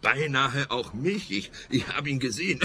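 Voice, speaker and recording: A man's voice: medium pitch, animated, moderate pace, bright, forceful tone, a little hiss or hum. A man acting a frightened, bitter, injured victim in a comic radio play, whining, stammering and coughing in agitation. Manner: stammering, repeating words, coughing.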